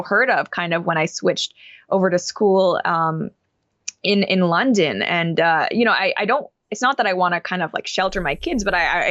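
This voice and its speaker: Soft-pitched young woman, dry dull sound. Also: dry recording. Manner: thoughtful, frequent fillers and restarts. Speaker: interview guest. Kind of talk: casual conversational interview.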